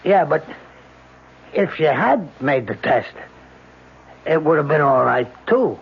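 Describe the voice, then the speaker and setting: Husky, halting old father. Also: on the high side, sing-song, full character voice. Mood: insistent. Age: older. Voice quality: husky, gravelly. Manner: halting, pleading. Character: desperate old father of an accused son. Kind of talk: 1970s radio drama acting. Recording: muffled old radio broadcast.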